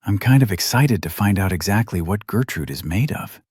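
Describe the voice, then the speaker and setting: A man's voice: lowish voice, lively intonation, normal speed, a little mellow, husky, no room sound, clean studio sound. A man voicing a cocky young male hero, casual and flippant, in a fantasy audiobook. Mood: flippant, upbeat.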